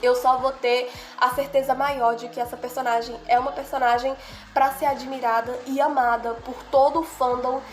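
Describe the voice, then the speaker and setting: A woman's voice: high-pitched, flat delivery, normal speed, faint music behind. A young woman with a cold and a nasal voice, giving an earnest, heartfelt anime analysis in casual talk, often asking "right?" and "you know?". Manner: earnest, heartfelt, repeats "né?" and "sabe?". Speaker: video creator analyzing anime.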